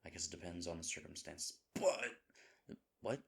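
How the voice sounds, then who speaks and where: A man's voice: low voice, normal speed, exaggerated voice. A man playing a lovable, nervous himbo boyfriend in an intimate audio roleplay, earnest and rambling. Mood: worried, earnest. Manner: earnest, rambling, hesitant, dropping into breathy asides.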